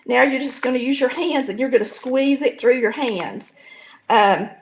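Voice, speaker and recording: Raspy Southern woman. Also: high-pitched, moderate pace, a little hiss or hum, rough recording. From American South. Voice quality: raspy, hoarse voice. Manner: folksy, chatty, explains step by step. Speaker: home cooking show host. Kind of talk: casual cooking demonstration. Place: home kitchen.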